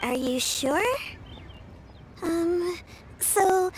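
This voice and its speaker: A woman's voice: very high voice, very slow, a little hiss or hum, exaggerated voice. Cheerful plucky young girl. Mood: upbeat. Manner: bright and polite. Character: cheerful, tough young girl caring for her grandmother. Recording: game score playing under voice.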